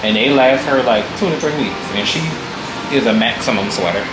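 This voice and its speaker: A woman's voice: very deep voice, fairly quick, roomy sound, over music, poor audio. A woman hair stylist, chatting bluntly and teasing her client. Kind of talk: casual chat.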